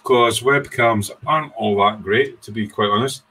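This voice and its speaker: A man's voice: medium pitch, normal speed, pushed and bright, slightly rough. A man in his sixties with a Scottish accent, hosting a casual, rambling livestream chat in an informal way. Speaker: livestream host, hobbyist. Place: small home workshop room.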